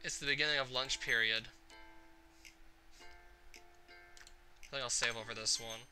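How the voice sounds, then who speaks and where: A man's voice: medium pitch, steady tone, measured pace, bright, forceful tone, faint music behind. A man hosting a gaming video, commenting on a visual novel and reading its text aloud.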